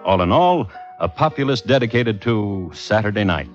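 An actor playing a wry, genteel crime connoisseur, narrating with dry, measured delivery as a radio host. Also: male voice, on the low side, expressive, close to an everyday voice. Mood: deadpan, wry. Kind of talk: 1950s radio scripted narration. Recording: muffled old radio recording, music behind.

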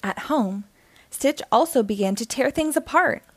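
A woman reading a children's storybook aloud in an expressive, animated storytelling style. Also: fairly high pitch, a little slow, dry recording. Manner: animated, acting out dialogue.

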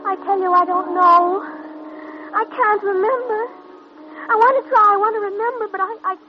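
A very high-pitched, fearful young patient. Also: female voice, fairly even intonation, moderate pace, loud music behind the voice. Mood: distressed. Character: frightened, pleading young woman with amnesia. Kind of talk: 1940s radio drama acting. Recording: muffled, hissy old radio recording.

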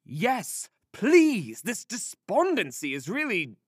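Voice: mid-range voice, wildly swooping pitch, slow, a little hiss or hum. An actor playing a pompous, cocky sorcerer who serves an empress, haughty and theatrical with flustered hesitations, in a fantasy audio drama. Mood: indignant.

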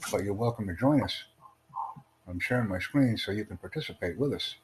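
A man hosting a livestream podcast, addressing callers in a deliberate, instructive way. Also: lowish voice, lively intonation. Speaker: podcast host. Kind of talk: livestream chat with online callers. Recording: clearly noisy, humming background.

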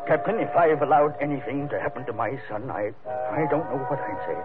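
A man's voice: medium pitch, lively intonation, a little fast, narrow, tinny audio, close to an everyday voice. An actor playing an anxious, polite middle-aged American father in an earnest, formal 1940s radio drama style. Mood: distraught, pleading. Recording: thin vintage radio broadcast with music.

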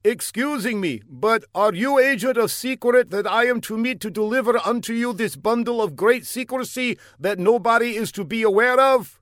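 A man's voice: very high voice, normal speed, hardly any pauses, a bit bright, dry recording, studio quality, voice pushed up. A man voicing a flustered, fawning young bearded courier, speaking stilted, overly formal broken English in a raised voice. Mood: earnest.

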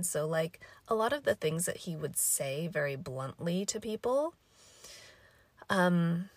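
A young adult woman with a raspy, scratchy voice from allergies, hosting a casual solo podcast in a thoughtful, rambling way with many fillers. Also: deep voice, no echo. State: hoarse from allergies. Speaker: podcast host.

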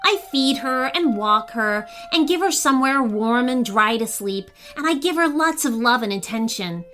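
A woman's voice: on the high side, expressive, moderate pace, sharp tone. A woman voicing a polite, earnest, slightly nervous male dog owner in a children's storybook, eager and friendly. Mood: happy, affectionate.

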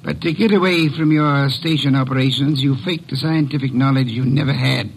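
Male, shrewd pompous middle-aged detective for classic radio mystery; husky, gravelly, courtly and articulate. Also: medium pitch, brisk, poor audio. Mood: accusing. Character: shrewd, imperious, portly private detective, middle-aged man. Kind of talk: old-time radio detective drama acting.

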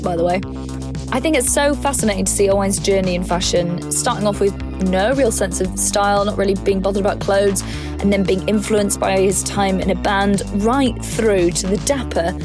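A woman, hosting a podcast and reading a chatty, self-mocking introduction with little laughs. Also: mid-range voice. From North Wales. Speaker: podcast host.

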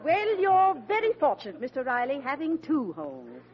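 A refined, formal lady dean from an old radio comedy. The voice is highish, with huge melodic sweeps and polite coolness. The recording sounds dull. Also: huge pitch swings, moderate pace, a faint crowd. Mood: courteous. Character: genteel, formal, politely cool woman college dean. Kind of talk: 1940s radio comedy acting.

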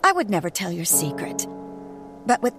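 An actress playing a perceptive young woman speaking softly and hesitantly. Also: mid-range voice, very animated, moderate pace, over music, close to an everyday voice. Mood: soft, probing.

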